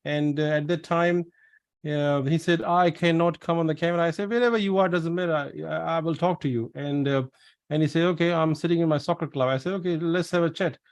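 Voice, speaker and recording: A chatty man hosts a webinar over a video call, his voice sitting a little high for a man. He repeats words as he goes and drifts into long anecdotes. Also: fairly even intonation, fairly quick. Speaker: webinar host, pharmacist. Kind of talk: casual webinar Q&A.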